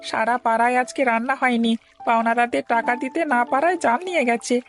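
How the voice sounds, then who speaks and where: A woman's voice: on the high side, fast, soft background music, close to an everyday voice. A woman voicing a poor, grateful fishing villager in a cartoon, with a deferential, plaintive manner. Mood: humble. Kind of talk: Bengali cartoon dialogue.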